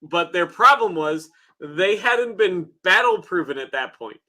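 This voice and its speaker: Bright-voiced Minnesotan man, casual. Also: high voice, lively intonation, a little slow. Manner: laid-back, swears freely, laughs often. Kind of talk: casual chat.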